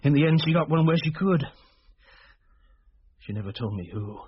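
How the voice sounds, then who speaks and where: A man playing a middle-aged, anguished father in a radio drama, halting and plaintive as he confides. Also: fairly high pitch, lively intonation, breathy edge. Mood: confessional grief. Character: anguished, confiding father burdened by a family secret. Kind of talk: BBC-style radio drama acting. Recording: dull, slightly noisy radio recording.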